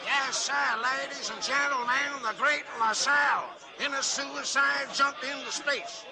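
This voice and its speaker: An actor playing an excitable carnival barker in a declamatory showman's style of 1940s radio acting. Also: very high-pitched, a little slow. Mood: excited hawking. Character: excitable fairground barker announcing a daredevil balloon stunt. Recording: 1940s radio broadcast with crowd noise.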